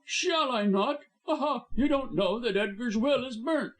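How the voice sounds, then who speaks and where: Man doing a scheming lawyer, very high shrill voice, sneering, threatening. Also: lively intonation, a little slow, a bit bright, heavily acted. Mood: savage. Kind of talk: audiobook reading.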